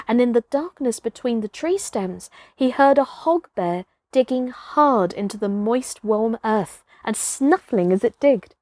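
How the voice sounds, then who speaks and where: Female storybook narrator: fairly high voice, expressive and dramatic, close dry sound. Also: no echo. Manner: dramatic, varies between hushed and emphatic. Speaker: storybook reader, performer.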